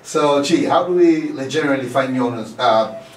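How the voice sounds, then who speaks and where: A man's voice: mid-range voice, moderate pace. A man with an East African accent hosting a radio show, stretching his fillers and repeating phrases for emphasis. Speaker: radio host. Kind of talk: radio show talk mixing English and Swahili. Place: small radio studio. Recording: roomy echo, distant from microphone.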